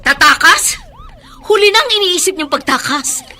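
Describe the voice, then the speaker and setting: A woman's voice: very high-pitched, huge pitch swings, a little slow, bright, forceful tone, exaggerated voice. An actress playing a righteous, unyielding middle-aged widowed mother in a radio drama, firm and declamatory. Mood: defiant, accusing.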